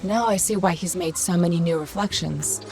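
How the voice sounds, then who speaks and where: A woman voicing a sassy, quick-witted AI companion spirit in a video game, conversational and direct, with music behind her. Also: on the low side, gravelly. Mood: matter-of-fact. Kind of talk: video game cutscene acting.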